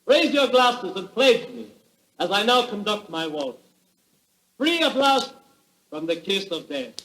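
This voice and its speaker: Emotional male composer, high declamatory voice, echoing space, 1940s radio drama. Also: sing-song, unhurried, fairly bright tone, heavily acted. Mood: solemn, exultant. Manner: grand, declamatory. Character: proud, emotional European composer-conductor addressing his audience. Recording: roomy vintage radio drama recording.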